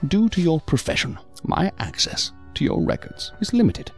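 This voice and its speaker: An actor playing a calm, evasive male psychiatrist in an audio drama, speaking in a measured, formal, unctuous manner. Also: high-pitched, faint music behind. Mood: soothing, probing.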